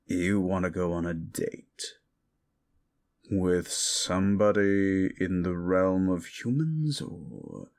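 A man playing a condescending, sardonic ancient demon in an audio roleplay, gravelly, with theatrical, mocking delivery. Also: sits low, animated, slow, a little mellow, exaggerated voice. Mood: bemused, exasperated. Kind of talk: audio roleplay monologue addressed to the listener.

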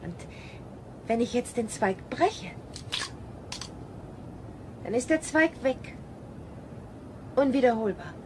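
High, animated actress as playful wife. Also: female voice, slow pace, pauses often. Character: playful, direct wife from a future society. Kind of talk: radio drama dialogue. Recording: clear ambient noise behind.

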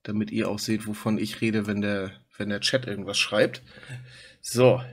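A man's voice: fairly low pitch, level tone, moderate pace, husky. A man streaming from home on a headset, chatting casually to his viewers while reacting to a video. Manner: chatty, talks to his chat and pet. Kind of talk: casual live-stream commentary.

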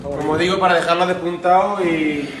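A man in his thirties with a Spanish accent from Spain explains a practical job informally, in a slightly high voice. He is recorded in an echoey farm shed, with clear noise around him. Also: fairly even intonation, brisk. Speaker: cattle breeder demonstrating horn care. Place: cattle handling pen with metal chutes.

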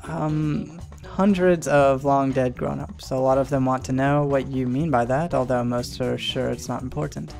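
A man's voice: mid-range voice, fairly even intonation, moderate pace, gravelly, few pauses, a little mellow, faint music behind, natural voice. Web cartoon boy, stiffly courteous and formal, voiced by a man. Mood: earnest. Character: polite boy guided by past lives.

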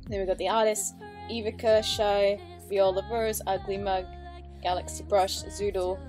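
A woman's voice: mid-range voice, level tone, moderate pace, darkish tone. A woman hosting a reaction video, commenting casually as she goes. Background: background music.

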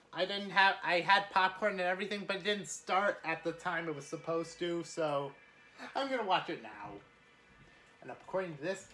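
Casting: woman, casual reaction commentator, slightly low voice, animated and rambling. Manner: excitable, rambling. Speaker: reaction video host.

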